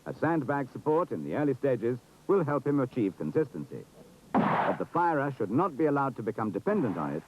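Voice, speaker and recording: A man's voice: mid-range voice, very animated, fairly quick, noisy background. A British man giving formal, precise, authoritative scripted narration for an instructional film. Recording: dull, muffled 1970s film soundtrack.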